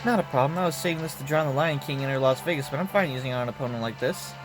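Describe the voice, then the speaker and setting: A man's voice: medium pitch, rapid-fire, over music. A man giving commentary on a video game as he plays and reading its dialogue aloud. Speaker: streamer playing and commenting on a game.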